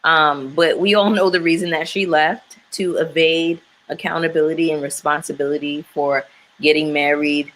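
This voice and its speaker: A woman's voice: low-pitched, steady tone, moderate pace, a bit bright, a little hiss or hum, poor audio. A woman chatting frankly and with strong opinions about a reality show, with many fillers. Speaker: panelist.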